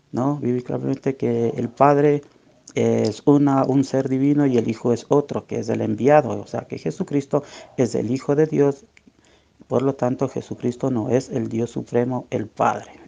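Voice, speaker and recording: A man's voice: medium pitch, vocal fry, warm tone, muffled recording. An Ecuadorian man giving a religious debate exposition, emphatic and didactic, asking rhetorical questions as he cites scripture.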